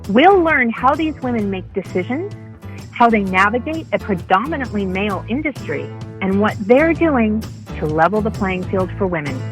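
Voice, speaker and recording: A woman's voice: mid-range voice, big pitch swings, normal speed, faint music behind. A woman reading a polished, upbeat podcast promo. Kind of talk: scripted promo read.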